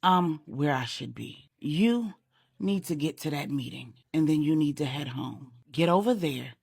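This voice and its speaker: A middle-aged woman storyteller reading a grown-up bedtime story aloud, voicing a devoted grieving mother with a heartfelt, measured delivery. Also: deep voice, animated, gravelly, a little mellow, husky, no echo, clean studio sound. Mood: urgent.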